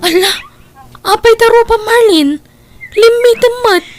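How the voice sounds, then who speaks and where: Woman acting an outspoken high-pitched Ilocano friend. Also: slow, music in the background. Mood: indignant, concerned. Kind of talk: Ilocano audio drama acting.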